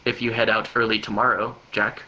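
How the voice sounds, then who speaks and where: A man's voice: lowish voice, level tone, brisk, sharp tone, rough recording. A man reading a story aloud unrehearsed, often stumbling and repeating words. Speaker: reader of a long joke story.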